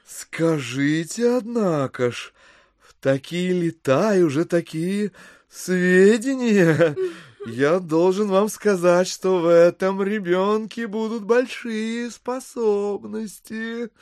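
An actor playing a smooth, ingratiating gentleman guest in an audio drama, polite and measured, full of unctuous courtesy. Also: high-pitched, dry recording, clean studio sound. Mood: admiring, courteous. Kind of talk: theatrical audio drama acting.

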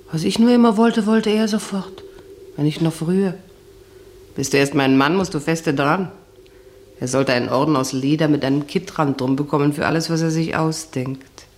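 An actress playing a sensual, dreamy, plain-spoken wife who muses to herself in a German radio drama monologue. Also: female voice, low voice, darkish tone, a little hiss or hum, close to an everyday voice. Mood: scornful, reminiscing. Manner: musing, intimate, talking to herself.

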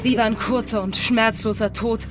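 A woman's voice: on the high side, fairly even intonation, normal speed, close to an everyday voice. An actress playing a bold, commanding female pirate in a radio drama, direct and defiant. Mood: earnest, confiding. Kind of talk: German radio drama acting. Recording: music behind the voice.

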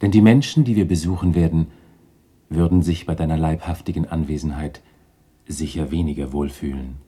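An actor playing a solemn, stern ghostly spirit in a radio play, speaking gravely and with command. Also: male voice, rumbling low, a little fast, dark tone. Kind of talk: 1960s German radio play acting.

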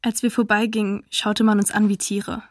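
A woman's voice: medium pitch, normal speed, no echo, clean studio sound, natural voice. A woman playing a humiliated young forced labourer writing to her mother, restrained, in a radio docudrama. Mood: subdued, wounded. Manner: restrained, plain, even. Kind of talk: radio docudrama letter reading.

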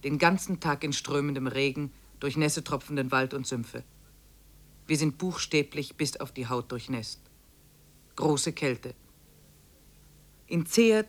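An actor playing a grave, weary expedition diarist, narrating dramatically in a 1950s German radio drama. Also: male voice, fairly high pitch, lightly acted.

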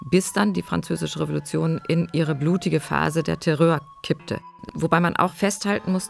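Very creaky-voiced female expert. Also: low voice, no room sound. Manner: academic, measured phrasing. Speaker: historian, expert commentator. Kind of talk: explanatory expert commentary.

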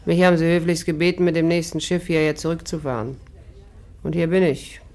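Cool, mocking young barmaid, low register, flippant gangster's-girl swagger, 1950s radio play. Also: steady tone, brisk, a little mellow. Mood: cool, worldly. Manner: teasing, flippant, sardonic.

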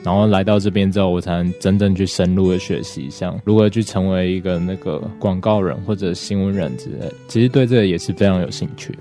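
A man's voice: low voice, fast talker, few pauses, dark tone, faint music behind. A young man with a Taiwanese accent giving casual answers as a student guest in an interview. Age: young adult.

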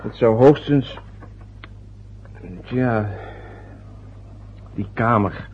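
A young actor playing a grown son in a radio play, hesitant and evasive, speaking haltingly. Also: male voice, medium pitch, a little hiss or hum. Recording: dull lo-fi old radio recording.

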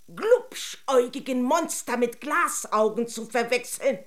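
A woman's voice: a little high, fairly bright tone. A woman playing a haughty, easily offended fairy-tale princess in a children's radio drama, theatrical and pompous.

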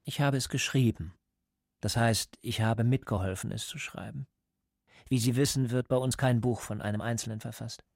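An actor playing a calm, cold party interrogator in a radio drama, speaking in a measured, lecturing way. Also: male voice, medium pitch, creaky, dry recording, studio quality. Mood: patient, instructive. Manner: measured, didactic.

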